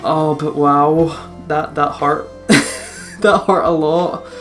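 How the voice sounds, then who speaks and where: Casting: gamer host, medium pitch, casual and sweary commentary, faint music behind. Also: steady tone, slow pace, fairly bright tone. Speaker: let's play host. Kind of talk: casual game commentary and script reading.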